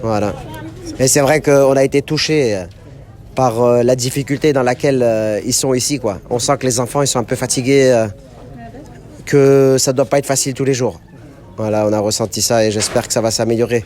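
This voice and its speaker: A man born and raised in France, a charity member speaking hesitantly with many pauses and fillers in an on-site interview. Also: medium pitch, steady tone, a little mellow. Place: outdoors at a Quranic school.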